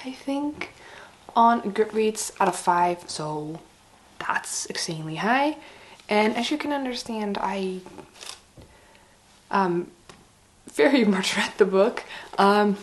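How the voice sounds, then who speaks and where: A woman with a hoarse, raspy voice from slight laryngitis, chatting casually and a little haltingly as she reviews books to camera. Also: on the high side, slight background noise. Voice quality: raspy, breaking into breathiness. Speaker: book vlogger.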